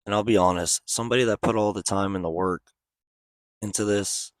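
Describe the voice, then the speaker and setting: A man's voice: fairly low pitch, fairly even intonation, a little fast. A man speaking casually and plainly to viewers as he hosts a hobby video walkthrough.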